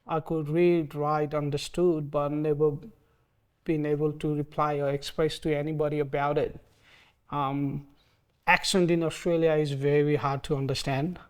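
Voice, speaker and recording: A man in his thirties from Nepal with a Nepali accent, speaking hesitantly with many pauses in an oral history interview. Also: fairly high pitch, level tone, clear, resonant tone. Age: 30s. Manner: soft-spoken, hesitant, frequent ums.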